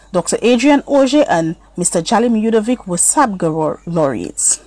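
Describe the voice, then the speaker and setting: Woman reading a children's story, lively intonation. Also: medium pitch, no echo, poor audio. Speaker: storybook narrator. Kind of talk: scripted children's story narration.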